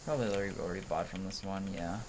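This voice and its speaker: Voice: low-pitched, rapid-fire, noisy background. A person giving casual, chatty gaming commentary, thinking aloud in an informal way. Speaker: host of a game playthrough. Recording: game music behind voice.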